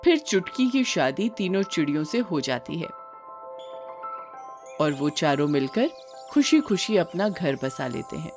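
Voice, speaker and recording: A woman's voice: on the low side, sing-song, a little slow, music in the background. A woman reading scripted narration for a children's story.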